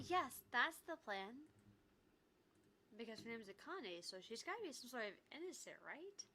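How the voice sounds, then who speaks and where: A woman's voice: fairly high pitch, sing-song, normal speed, sharp tone, a little hiss or hum. A woman streamer reading a visual novel aloud and chatting casually between the lines. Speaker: gaming streamer. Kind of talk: visual novel read-along with casual banter.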